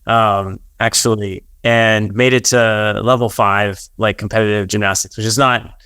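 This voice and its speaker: A man's voice: lowish voice, steady tone, unhurried, pushed and bright, clean tone, dry recording. A man hosting a podcast interview in an easygoing, conversational manner. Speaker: podcast host. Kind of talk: casual interview.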